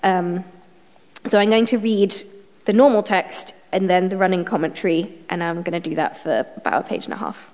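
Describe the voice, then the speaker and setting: A woman's voice: fairly low pitch, fairly even intonation, moderate pace, darkish tone. A woman chairing a literary event in front of an audience at a microphone, her voice strained and snuffly, speaking chattily with frequent ums. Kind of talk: introducing a literary reading. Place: event room with a live audience. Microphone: microphone on a stand. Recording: long hall reverb.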